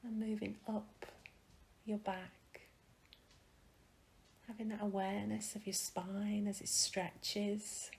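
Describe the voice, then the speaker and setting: A woman leading a guided meditation in a soft, breathy, soothing manner with gentle pauses. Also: medium pitch, level tone, slight background noise, poor audio.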